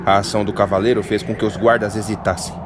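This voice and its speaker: A man narrating a fantasy audiodrama in a steady, dramatic storytelling manner. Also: lowish voice, fast talker, noisy background.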